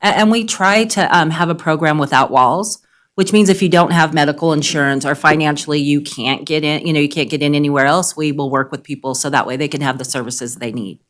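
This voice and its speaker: A woman with a low voice speaks at a city board meeting. She is friendly and matter-of-fact, and she keeps things light with a joking touch. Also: steady tone, fairly quick. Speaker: treatment center staff. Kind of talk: public meeting testimony. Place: government board meeting chamber.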